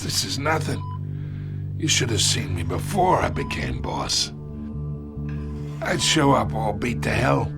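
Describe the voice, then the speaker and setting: An aging mob boss in a video game, smug and taunting. His slow, gravelly delivery swings widely up and down, with music underneath. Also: mid-range voice, big pitch swings. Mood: boastful. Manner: taunting, smug, slangy tough talk. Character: cocky aging male crime boss, battered and taunting.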